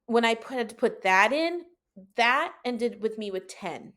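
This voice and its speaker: High-pitched woman chatting casually. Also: unhurried. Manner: animated, colloquial, acts out own quoted reactions. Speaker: podcast guest telling own experience. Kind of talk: casual chat, personal storytelling.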